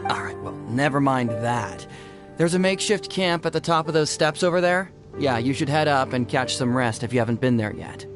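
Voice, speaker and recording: A man's voice: medium pitch, animated, normal speed, natural voice. A man playing an odd, chipper young soldier in a video game, easygoing and enthusiastic, talking in a breezy, slangy way. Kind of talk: video game character acting. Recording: music behind the voice.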